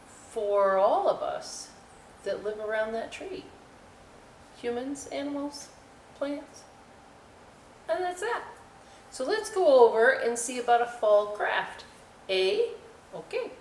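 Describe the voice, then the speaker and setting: A woman hosting a children's storytime, reading aloud and explaining crafts with folksy, chatty, expressive asides to young listeners. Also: medium pitch. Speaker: library storytime host. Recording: roomy echo, distant mic, faint noise.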